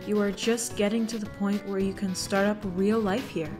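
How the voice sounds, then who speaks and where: A woman's voice: medium pitch, level tone, normal speed, over music, close to an everyday voice. A woman playing a firm, motherly character who pleads earnestly and emphatically in a fan comic dub. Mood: gentle, earnest.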